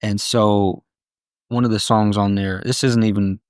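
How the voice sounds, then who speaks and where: A man's voice: on the low side, level tone, measured pace, darkish tone, clean tone, no echo, studio quality. A man, a guest rapper, talking casually and rapping his verses with a rhythmic flow. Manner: rhythmic rap delivery, laid-back in conversation.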